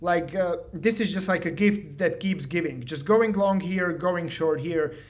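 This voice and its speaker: A man's voice: sits high, unhurried. A man with a Slovak accent walking through trading charts in a casual, joking way, mocking himself and filling his talk with "like" and "you know". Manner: joking, self-mocking, filler-heavy. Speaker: trading educator. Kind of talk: casual screen-share walkthrough.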